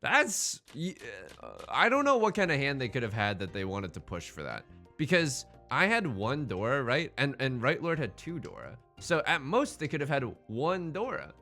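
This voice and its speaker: A man with a bright, forceful voice gives casual game commentary as a host. His pitch swings widely as he rambles, repeats words and drops in joking asides, with faint music behind him. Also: huge pitch swings, moderate pace. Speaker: host of a gaming Let's Play series.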